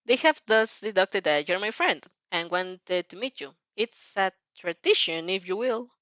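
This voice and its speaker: A woman's voice: mid-range voice, lively intonation, measured pace, fairly bright tone, dry recording. A woman voicing a polite, earnest teenage schoolgirl with careful, formal phrasing in an amateur fan fiction dub.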